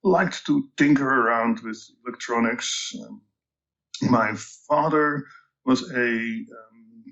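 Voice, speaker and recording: An older man from the Netherlands with a Dutch accent, a company president speaking as a guest in a casual interview, measured and full of fillers. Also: mid-range voice, creaky, fairly bright tone, lo-fi sound. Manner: measured, with many fillers and restarts.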